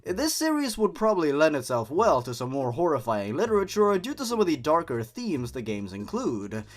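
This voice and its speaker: A man who is not a native English speaker, hosting scripted commentary and horror story readings in an expressive way, with sardonic asides. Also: fairly high pitch. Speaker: host reading and reviewing horror stories. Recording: music behind the voice. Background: background music.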